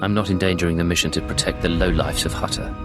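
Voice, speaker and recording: A man playing a confident undercover agent in a sci-fi game. His voice is very low, and his delivery is cool and businesslike, with faint music behind him. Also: fairly even intonation, fast talker, close to an everyday voice. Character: dedicated, confident male imperial undercover agent. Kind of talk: video game voice acting.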